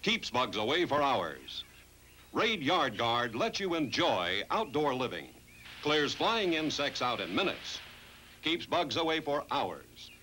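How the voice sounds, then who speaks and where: A man's voice: mid-range voice, sing-song, slow, sharp tone, heavily acted. An American man reading a dramatic, emphatic 1960s television advert voice-over. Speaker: commercial announcer. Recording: noisy vintage television commercial.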